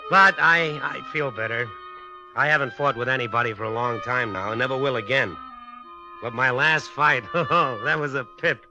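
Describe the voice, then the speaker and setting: An actor playing a troubled, earnest, simple-hearted brawler with halting, plain speech in a 1950s radio drama. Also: male voice, medium pitch, over music, lightly acted, low-quality recording. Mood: wistful, haunted.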